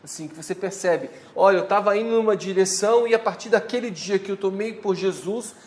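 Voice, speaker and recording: A man's voice: sits high, brisk. A Brazilian man teaching a church class, prompting the group with questions. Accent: Brazilian Portuguese. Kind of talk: leading a church lesson discussion.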